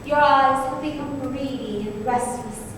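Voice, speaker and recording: A woman playing a grave, reproachful spirit of the past in old-time radio style, solemn and measured. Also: high-pitched. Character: grave, reproachful female Ghost of Christmas Past. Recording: distant, echoey hall, faint noise.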